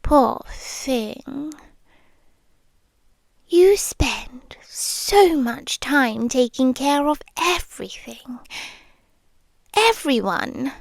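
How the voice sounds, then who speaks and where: Casting: woman to play a doting, possessive British female vampire lover for ASMR roleplay, high soft voice, extremely unhurried, often whispering. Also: creaky, no echo, studio quality, exaggerated voice. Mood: soothing, pitying. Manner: slow, hushed, lingering, coaxing with soft pauses. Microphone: close microphone, dry.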